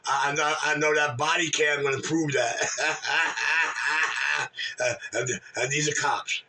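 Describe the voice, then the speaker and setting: A man's voice: a little high, fairly even intonation, slow, vocal fry, bright, forceful tone. A man, bragging and trash talking profanely in casual chat. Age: 60s. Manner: brash, boastful, profane trash talk. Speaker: regular on a call-in chat room show. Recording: distant mic.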